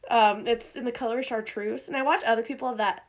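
A woman hosting a podcast in casual chat, rambling with lots of fillers, her voice a little hoarse from a cough. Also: fairly high pitch, fairly even intonation, fairly quick. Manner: chatty, rambling with many fillers and restarts. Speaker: podcast host.

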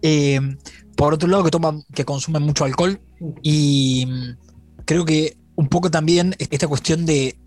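A man from Argentina, explaining his points in a measured way in a casual chat. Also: on the high side, fairly even intonation, darkish tone, faint music behind. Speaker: podcast guest.

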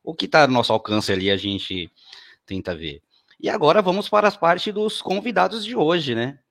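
A man's voice: a little high, sing-song, normal speed, vocal fry, fairly bright tone. A man with a São Paulo Brazilian accent hosting a podcast in a casual, chatty way, often repeating his words. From Guarulhos, Brazil. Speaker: podcast host.

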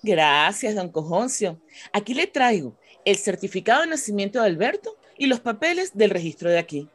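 Woman playing an animated, kindly schoolteacher. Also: medium pitch, normal speed. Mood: friendly. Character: polite, kindly female schoolteacher, gentle and obliging. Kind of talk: radio drama acting.

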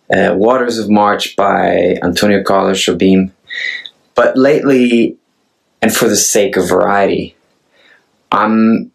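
Norwegian guy in his 30s, low gravelly voice, talks real slow, lots of ums and restarts. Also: low-quality recording. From Norway. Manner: hesitant and thoughtful, many restarts. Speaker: singer-songwriter. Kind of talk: casual chat to camera.

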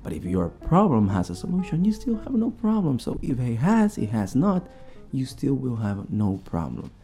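A man's voice: mid-range voice, wildly swooping pitch, normal speed, dark tone, faint music behind. A man who is a non-native speaker, giving his opinions casually and emphatically as an interview guest. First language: not English. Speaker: podcast guest.

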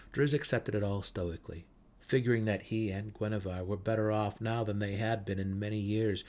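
A man with a fairly low voice narrates a fantasy audiobook. He reads scripted prose as a dramatic storyteller. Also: darkish tone. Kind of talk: dramatic reading of fantasy fiction.

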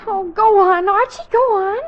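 A woman's voice: extremely high pitch, normal speed, a little hiss or hum. A woman playing an eager, flighty teenage girl in a 1940s radio comedy. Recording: muffled 1940s radio broadcast recording.

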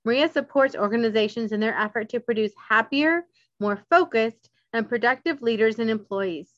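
A woman's voice: fairly high pitch, moderate pace, no room sound. A woman hosting a podcast who reads a formal introduction and then asks questions in a friendly, chatty way. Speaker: podcast host. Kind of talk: podcast interview. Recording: dry, dull lo-fi sound.

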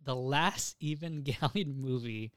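A man's voice: mid-range voice, unhurried, fairly bright tone, no room sound, studio quality. A young man co-hosting a casual anime news podcast, rambling along and swearing freely. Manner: profane, rambling. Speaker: podcast co-host.

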